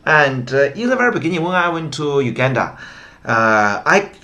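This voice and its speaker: A man's voice: a little high, expressive, moderate pace, sharp tone. A middle-aged man from China with a Mandarin Chinese accent, talking over a video call in an agreeable, hesitant way. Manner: agreeable, many hesitant uh fillers. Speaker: interpreter and host. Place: office with leather chair.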